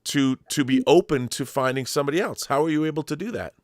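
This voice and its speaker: A man's voice: a little high, fast, dry recording, clean studio sound. A man hosting a casual interview, speaking informally and circling back over his words as he frames questions. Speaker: podcast host.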